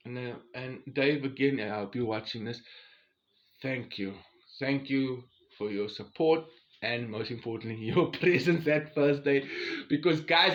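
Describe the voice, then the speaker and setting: A forty-year-old South African man casually telling a personal story to his viewers in an expressive, chatty way. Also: medium pitch. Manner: expressive, chatty, acts out quoted exchanges. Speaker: vlogger.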